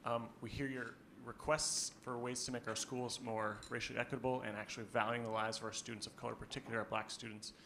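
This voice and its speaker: A man's voice: mid-range voice, flat delivery, fast, gravelly, few pauses, pushed and bright, a little hiss or hum. A man in his thirties speaking earnestly and emphatically into a handheld mic at a school board meeting, with frequent ums. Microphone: handheld microphone held close.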